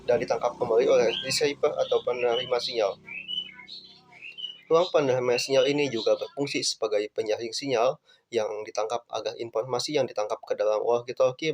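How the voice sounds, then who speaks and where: A young man, a student presenter, reading out a class presentation in a plain, measured way. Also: mid-range voice, darkish tone.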